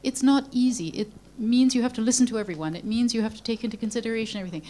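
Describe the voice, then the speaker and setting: A woman's voice: fairly high pitch, very fast, mellow. A woman speaking as a panelist at a conference, thoughtful and lightly joking as she makes her point. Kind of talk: panel discussion remarks.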